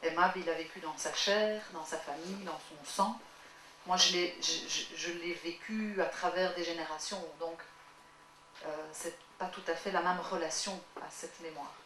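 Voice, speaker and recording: A woman speaking from the audience after a screening, halting and enthusiastic, stumbling over her words. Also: lowish voice, steady tone, fairly bright tone, slight background noise. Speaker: audience member. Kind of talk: public Q&A remarks. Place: screening hall. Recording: roomy hall, distant mic.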